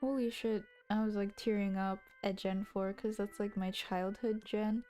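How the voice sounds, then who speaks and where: Young husky woman narrator, mellow, chatty asides, music bed. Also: medium pitch, fairly even intonation, normal speed. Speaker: streamer reading a visual novel aloud. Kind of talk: scripted narration with casual asides.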